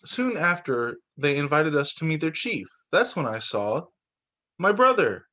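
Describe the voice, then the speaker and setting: A man, a teacher, reading a picture book aloud to students in an expressive, deliberate storytelling way. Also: fairly high pitch.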